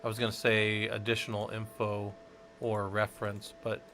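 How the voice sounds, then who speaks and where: A man's voice: lowish voice, monotone, normal speed, a bit bright. A man from the Southwest United States rambling and thinking aloud while teaching a casual live-stream tech tutorial, chatting with viewers as he works.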